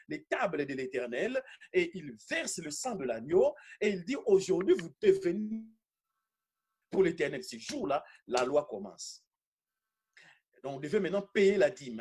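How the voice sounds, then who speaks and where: A man preaching an animated, charismatic teaching sermon, emphatic and repeating phrases for effect. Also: high-pitched, husky.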